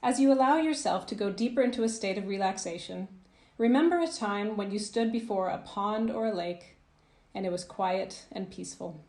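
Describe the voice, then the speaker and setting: A woman narrating a guided visualisation as a coach, in a soothing, gentle, measured manner with phrases that trail off softly. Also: mid-range voice, roomy sound. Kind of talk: guided meditation, scripted narration.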